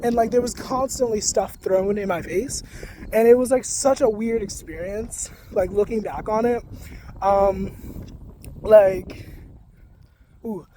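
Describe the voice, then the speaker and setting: Soft-spoken high-voiced young man. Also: slow, long pauses, a little hiss or hum, rough recording. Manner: soft-spoken, rambling, heavy on "like". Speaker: spiritual vlogger. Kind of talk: casual monologue to camera.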